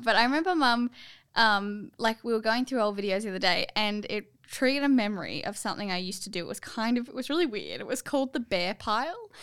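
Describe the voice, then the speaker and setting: Young aussie woman chatting on a podcast, higher voice, clean and smooth, rambly. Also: a bit bright. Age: young adult. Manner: rambling, lots of likes and restarts. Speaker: podcast co-host. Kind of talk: casual chat.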